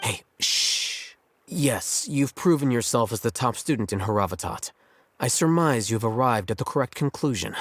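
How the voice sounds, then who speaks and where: A man voicing an aloof young scholar who speaks in a measured, dry, cutting way. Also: mid-range voice, lively intonation, fairly bright tone, dry recording. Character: aloof male scholar, cool and condescending. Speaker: scholar, scribe.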